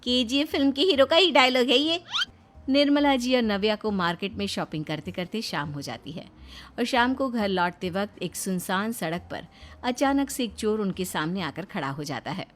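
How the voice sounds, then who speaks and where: A woman's voice: mid-range voice, animated, moderate pace, a little hiss or hum. A woman reading scripted narration for a story as its narrator.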